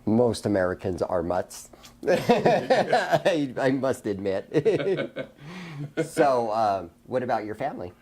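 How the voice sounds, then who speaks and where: A man hosting a live show, asking easy questions in a relaxed interview chat. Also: medium pitch, slow. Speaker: show host.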